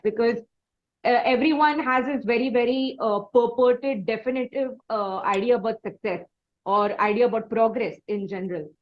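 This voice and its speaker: A woman's voice: fairly high pitch, dull audio. A woman with an Indian accent interviewing conversationally, reflecting in long, wordy sentences with hesitant phrasing.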